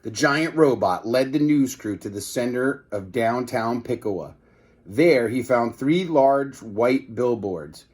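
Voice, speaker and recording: A man's voice: medium pitch, a little mellow, breathy edge. A man reading a children's book aloud like a teacher, with casual asides to his listeners.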